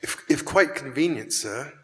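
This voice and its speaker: A man's voice: mid-range voice, lively intonation, moderate pace, a bit bright, lightly acted. A man playing a timid, deferential office clerk in a live radio play, speaking hesitantly. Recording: live in echoey church hall.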